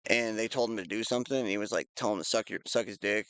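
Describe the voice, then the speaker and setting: A man's voice: on the low side, rapid-fire, fairly bright tone, clear, resonant tone, no room sound. A young man casually chatting with a friend, rambling through a jail story with lots of fillers. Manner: rambling with fillers and restarts, laid-back. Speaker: friend and co-host. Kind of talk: casual chat.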